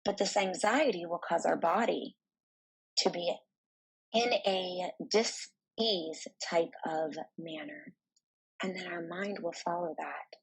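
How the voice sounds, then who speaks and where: A woman narrating a guided meditation in a soft, soothing, deliberate manner with pauses between phrases. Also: fairly low pitch, expressive, creaky, breathy edge, low-quality recording.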